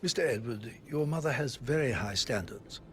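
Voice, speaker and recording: A man's voice: mid-range voice, expressive, moderate pace, a little hiss or hum, close to an everyday voice. An actor playing a formal, polite elderly male butler who speaks with reserved courtesy. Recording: music behind.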